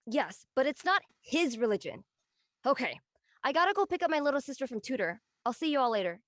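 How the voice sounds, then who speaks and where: A woman playing an earnest, defensive high school girl in a casual script reading. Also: very animated, a little fast, fairly bright tone.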